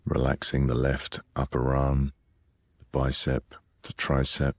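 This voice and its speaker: A man leading a guided relaxation meditation in a soothing, unhurried way with long pauses, close on the microphone. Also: a bass voice, monotone, no echo.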